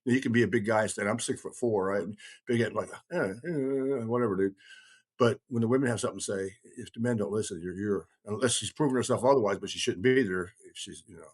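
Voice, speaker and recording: Rambling, low-pitched middle-aged man. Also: fast. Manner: rambling, emphatic, repeats phrases for stress. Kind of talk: casual conversational talk.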